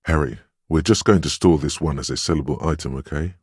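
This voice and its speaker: A man playing the inner voice of willpower in a game, a stoic, protective conscience who speaks in a measured, firm and reassuring way with a deep gravelly husk. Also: no echo, studio quality. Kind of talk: dramatic game voice acting.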